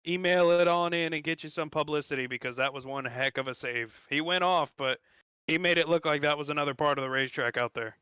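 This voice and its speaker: A man's voice: fairly high pitch, fast, pushed and bright, clean tone, no room sound. A man interviewing drivers on a sim racing broadcast, easygoing and folksy as he retells the action.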